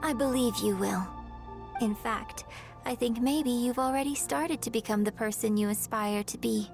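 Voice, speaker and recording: Courteous young opera artist, slightly high voice. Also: female voice, normal speed, vocal fry. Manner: courteous, earnest, reassuring. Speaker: opera writer and performer. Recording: game dialogue with music behind.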